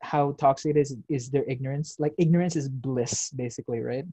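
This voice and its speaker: A young man, talking loosely and at length. His voice sits dark and mellow, with a husky edge to it. He rambles through fillers and drops casual swears. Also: mid-range voice, fairly quick, no room sound. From United States. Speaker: podcast host. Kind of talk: casual chat.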